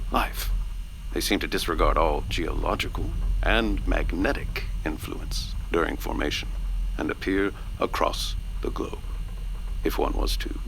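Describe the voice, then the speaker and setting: An actor playing a studious scientist dictating a research log in an audio drama, deliberate and formal. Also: male voice, sits low, creaky, pushed and bright, a little hiss or hum, exaggerated voice, rough recording.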